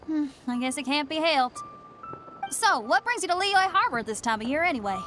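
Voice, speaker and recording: A woman playing an upbeat, bold young rock musician in a video game, with a breezy, confident, energetic manner. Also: high voice, fairly quick, soft background music. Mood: teasing, curious.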